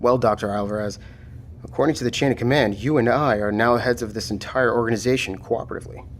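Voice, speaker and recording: A man playing a steady, matter-of-fact military lieutenant taking charge after a disaster in an audio drama. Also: medium pitch, quick pace, a little hiss or hum, natural voice. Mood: measured, resolute.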